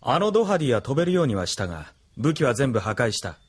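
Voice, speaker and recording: An actor voicing a cold, ambitious young officer who speaks in a cool, detached way, in a studio radio drama. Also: male voice, mid-range voice, big pitch swings, fast, slightly rough. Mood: smug. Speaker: military officer and pilot.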